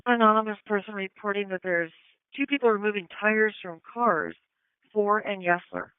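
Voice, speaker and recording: A woman's voice: mid-range voice, expressive, moderate pace, smooth, no echo. A woman working as a police dispatcher, speaking in terse, procedural radio calls heard over a scanner. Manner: clipped, procedural. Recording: phone-like narrow-band police radio.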